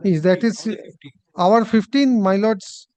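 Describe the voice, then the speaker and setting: A man with an Indian Bengali accent speaking deferentially as an advocate before a judge, halting and pleading. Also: high-pitched, a little mellow. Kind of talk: deferential courtroom exchange. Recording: slightly dull, lo-fi audio.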